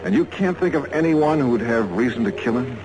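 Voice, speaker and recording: A man's voice: medium pitch, animated, a little fast, noisy background, lightly acted. An American man playing a wry, skeptical, hard-boiled investigator in 1950s radio detective style, clipped and matter-of-fact. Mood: coaxing, probing. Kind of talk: 1950s radio detective acting with first-person narration. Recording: 1950s radio drama recording.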